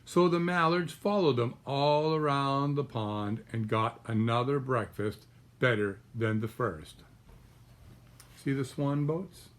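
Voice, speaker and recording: A man in his sixties reading a children's storybook aloud like a grandfather, pausing to explain the pictures to small children. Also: mid-range voice. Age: 60s. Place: small room at home, indoors.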